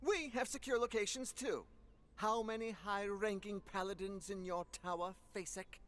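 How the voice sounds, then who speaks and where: A man's voice: sits high, normal speed, fairly bright tone. A man playing a blustering, argumentative fantasy lord in a video game. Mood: agitated, indignant. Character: excitable, blustering council lord.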